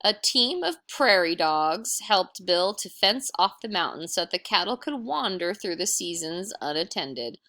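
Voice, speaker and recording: A woman reads a children's storybook in a bright, forceful voice that sits a little low. She takes her time with the text and adds playful, amused asides straight to the listener. The sound is dry and close. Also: unhurried, hardly any pauses, no echo. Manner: playful, amused, animated, addresses listeners directly. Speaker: read-aloud storyteller. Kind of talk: picture-book read-aloud with casual asides.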